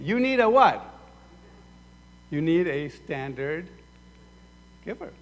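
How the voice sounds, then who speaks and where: A man from Sudan preaching a sermon as pastor, acting out both sides of imagined conversations. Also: sits high. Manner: animated, acts out both sides of dialogues. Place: church sanctuary. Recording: echoey hall reverb.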